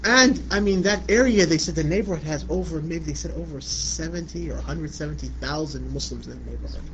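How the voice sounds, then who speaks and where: Middle-aged man from Iraq, conversational lecturer, slightly high voice, dull lo-fi sound. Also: brisk. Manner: conversational, repeats "you know what I'm saying". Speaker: Islamic lecturer. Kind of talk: religious lecture answering a question. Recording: muffled lo-fi recording with light background noise.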